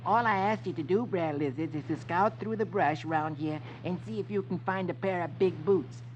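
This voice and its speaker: A man's voice: sits high, moderate pace, pitched above the performer's own voice, close to an everyday voice. A man voicing a clever, thoughtful, folksy rabbit in a 1950s radio storybook style. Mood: instructing. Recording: muffled, noisy 1950s radio recording.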